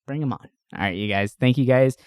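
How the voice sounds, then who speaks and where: A man's voice: medium pitch, brisk, a little mellow, clean tone, no room sound. Casual male podcast host, dry close sound. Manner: informal, frequent "like" and "you know", occasional swearing. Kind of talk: casual podcast chat about movies and games.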